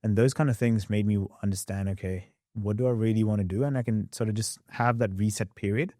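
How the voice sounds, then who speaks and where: A young man with an accent blending Australian and English speech tells his story as a podcast guest. His voice sits low and comes across dry, with no room around it. He is lively and quick, acting out scenes as he talks. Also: dry recording. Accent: mixed Australian and English. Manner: animated, quick storyteller who acts out past scenes. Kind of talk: candid interview storytelling.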